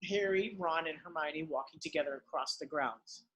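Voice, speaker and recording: A man's voice: on the high side, moderate pace, very noisy. A man reading screenplay stage directions as narrator in an amateur table read.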